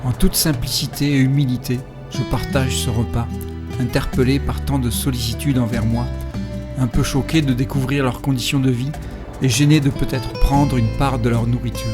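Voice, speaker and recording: A man at an ordinary pitch narrates his travels in a calm, reflective voice-over. Faint music plays softly behind him. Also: moderate pace. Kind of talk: first-person travel narration. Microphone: voice-over recorded separately.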